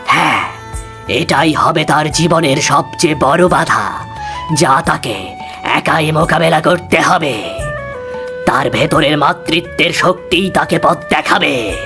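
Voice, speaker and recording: An actor voicing a solemn, wise male sage in a cartoon fairy tale, grave and deliberate in manner. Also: mid-range voice, music in the background. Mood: solemn counsel.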